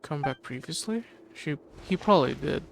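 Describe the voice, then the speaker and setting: A man's voice: a little high, animated, normal speed, creaky, noisy background. A man streaming a game, casually muttering and reading along as he plays. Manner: mumbled, offhand reading-along. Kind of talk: casual commentary while playing.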